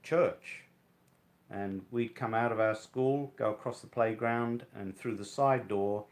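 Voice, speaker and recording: Older guy in his 60s, lowish voice, casually looking back, kinda rambly and thoughtful. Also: takes it slowly. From Wales, then London from age eight. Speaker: interviewee. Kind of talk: casual reminiscing about childhood.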